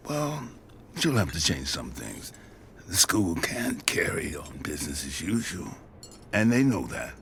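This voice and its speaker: An actor playing a wry, principled middle-aged father in an audio drama, with an American accent and gravelly, folksy delivery. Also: deep voice, sing-song, measured pace, a bit bright, a little hiss or hum, full character voice. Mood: wry, supportive. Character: wry, principled father who approves of righteous trouble.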